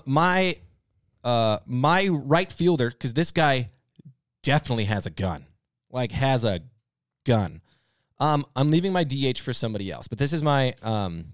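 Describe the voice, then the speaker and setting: A man's voice: medium pitch, no echo, studio quality. A man co-hosting a casual panel show, bantering in an emphatic, playfully exaggerated way. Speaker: podcast panelist and co-host.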